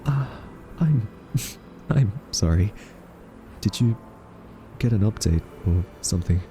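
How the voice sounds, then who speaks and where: A man playing a timid, quirky scientist in an audio roleplay, soft-spoken, stammering and awkwardly polite. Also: on the low side, expressive, takes it slowly, faint music behind. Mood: baffled, hesitant. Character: timid, quirky male scientist held captive by a rogue AI. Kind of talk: audio roleplay acting addressed to listener.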